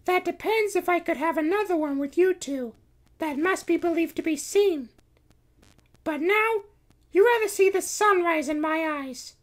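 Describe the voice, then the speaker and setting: A man voicing a gentle, wistful magical cat princess in a high falsetto, with a distant sound. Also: unhurried.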